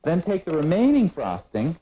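Middle-aged guy demoing a cake recipe on a tv kitchen set, calm step by step, mellow voice. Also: on the high side, normal speed, slightly rough, low-quality recording. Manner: calm step-by-step instructive delivery. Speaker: cooking show host. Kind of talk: scripted cooking demonstration.

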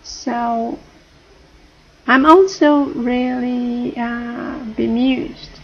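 A middle-aged woman with a British colonial accent talks slowly to her webcam at home, often rephrasing herself. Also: a little high, steady tone, creaky. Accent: British colonial English. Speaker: vlogger. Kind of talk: casual talk to camera. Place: home room, indoors. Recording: dull lo-fi webcam audio, faint hiss.

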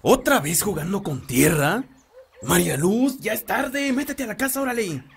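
Threatening village dad, Mexican accent, rough husky voice, angry, audio drama. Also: male voice, sits high, animated, moderate pace, heavily acted. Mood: scolding.

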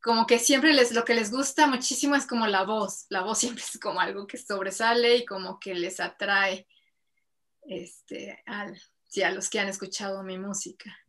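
A woman's voice: mid-range voice, steady tone, moderate pace, fairly bright tone. A Mexican woman in her thirties, a singer-songwriter answering interview questions casually over a video call from home, with frequent fillers and laughs. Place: home room with bookshelves and string lights. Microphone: video call webcam.

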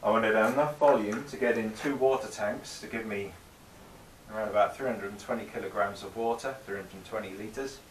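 A man's voice: lowish voice, brisk, roomy sound, far from the mic, a little hiss or hum, poor audio. A man in his thirties with the flu, casually explaining his boat build while working in a cold garage workshop. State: has the flu.

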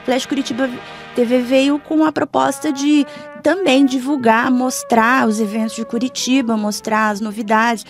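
A middle-aged woman talks conversationally as a radio guest. Her voice sits on the high side, and faint music plays behind her in the studio. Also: steady tone, normal speed. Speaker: interview guest, blogger and video presenter. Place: small foam-padded radio studio. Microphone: studio microphone.

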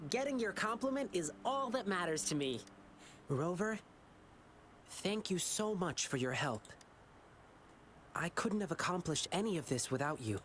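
A man voicing an earnest, boyish young lion dancer in a video game, telling his story in measured, wistful dialogue. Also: high voice, big pitch swings, a bit bright, a little hiss or hum, exaggerated voice. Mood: eager, grateful. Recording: game music underneath.

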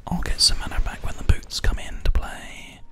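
ASMR gaming host: full whisper, calm and unhurried play-by-play, close binaural mic, keys and mouse clicking behind. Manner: calm, unhurried, murmured running commentary. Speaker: host and gamer narrating play. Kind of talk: whispered ASMR game commentary. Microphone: close binaural microphone, ear to ear. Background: keyboard typing and mouse clicks.

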